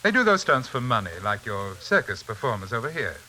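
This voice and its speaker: An actor playing a pompous, silkily menacing uncle and occult hypnotist in a 1940s radio suspense drama. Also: male voice, medium pitch, sing-song, brisk, sharp tone. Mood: silkily coaxing. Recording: hissy, crackling 1940s radio recording.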